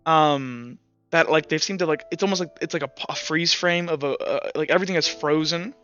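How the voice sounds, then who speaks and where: A man narrating as game master, halting often with fillers and restarts. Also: on the high side, pushed and bright. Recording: dull lo-fi sound with faint music.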